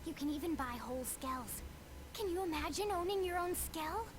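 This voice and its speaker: High-pitched, bubbly young recruiter girl. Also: animated, moderate pace, background noise. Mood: enthusiastic explaining. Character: eager, chatty young female recruiter, bubbly and pushy. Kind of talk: video game cutscene voice acting.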